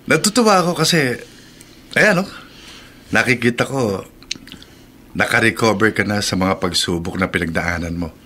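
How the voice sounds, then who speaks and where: A man's voice: on the low side, lively intonation, moderate pace, long pauses, sharp tone, slightly rough, full character voice. A man acting in a Filipino radio drama as a middle-aged family friend, chatty, familiar and admiring. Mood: pleased, admiring.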